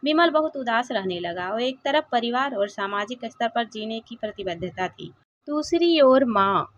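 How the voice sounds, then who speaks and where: A woman narrates a sentimental moral story in a fairly high voice. Her delivery is dramatic and very animated, with wide swings in pitch. The recording sounds a little dull and lo-fi. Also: normal speed.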